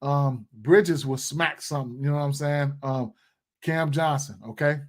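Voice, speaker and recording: A man's voice: a little high, measured pace. Slangy, chatty man streaming sports picks. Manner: loose and conversational, many fillers and repeats, slangy. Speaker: sports betting livestream host. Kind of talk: casual livestream commentary, reading chat. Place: indoor room.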